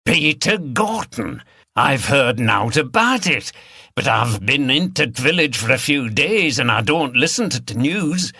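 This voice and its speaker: Man voicing a gruff, bright-edged Yorkshire hill farmer. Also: medium pitch, sing-song, a little slow, dry recording, clean studio sound, voice pushed up, full character voice. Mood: startled, grumbling. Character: gruff old rustic Yorkshire hill farmer, plain-spoken, grumbling. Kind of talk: audiobook character dialogue.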